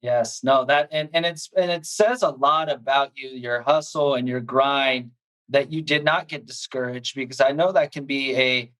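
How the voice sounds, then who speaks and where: A man hosting a podcast, energetic and hype-filled, often stumbling and restarting his sentences. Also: medium pitch, moderate pace, low-quality recording. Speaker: podcast host. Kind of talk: hyped show host intro and interview.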